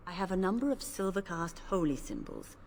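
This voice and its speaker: An actress playing a devout, principled priestess who speaks in a solemn, measured way. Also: female voice, fairly low pitch, expressive, darkish tone, slight background noise, close to an everyday voice. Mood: reluctant. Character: devout older female priestess, gentle but principled. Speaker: game character. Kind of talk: video game voice acting. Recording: game audio with faint ambience.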